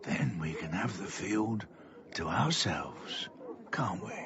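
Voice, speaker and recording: A man's voice: sits low, lively intonation, slow, highs cut off, noisy background, heavily acted. A man playing a menacing, silky carnival master in a radio drama, theatrical and taunting, savouring each word. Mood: taunting, threatening.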